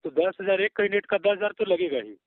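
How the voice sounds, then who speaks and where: A man's voice: high voice, fast, no room sound. Pushy man on a tinny phone line. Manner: pushy, curt, insistent. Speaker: caller soliciting payment for a job listing. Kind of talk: phone conversation. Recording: tinny, narrowband phone call recording.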